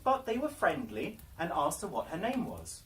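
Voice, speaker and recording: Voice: medium pitch, huge pitch swings, normal speed, distant mic, slight background noise. A storyteller narrating a fairy tale in a dramatic, theatrical storybook style.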